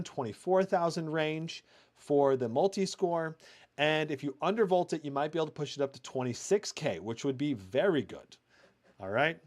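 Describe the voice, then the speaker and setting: A man hosting a live tech review, a loud voice, chatting casually through benchmark numbers with plenty of fillers. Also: on the high side.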